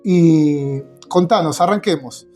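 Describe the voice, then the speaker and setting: A man's voice: fairly high pitch, slow pace, dark tone, faint music behind. A man hosting a casual interview, enthusiastic and chatty. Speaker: host, interviewer.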